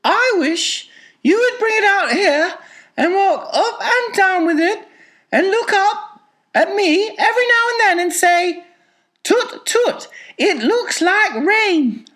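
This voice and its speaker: A man voicing a slow, earnest, gentle little toy bear in a dramatized children's storybook. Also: very high voice, lively intonation, voice pushed up, heavily acted. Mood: earnest, hopeful. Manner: slow, deliberate, ponderous delivery.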